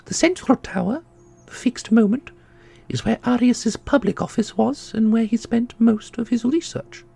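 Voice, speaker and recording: A man voicing a solemn, knowing keeper of an ancient magical order in a fantasy audio roleplay, speaking in a formal, measured way. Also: very high voice, expressive, gravelly, dark tone, slightly rough, full character voice. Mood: solemn, wistful. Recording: music under the voice.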